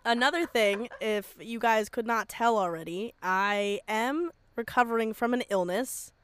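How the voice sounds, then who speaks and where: A young woman co-hosting a casual podcast, hoarse from a sore throat, joking and laughing often. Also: fairly high pitch, a little slow, fairly bright tone. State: hoarse, losing voice after strep throat. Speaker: podcast co-host.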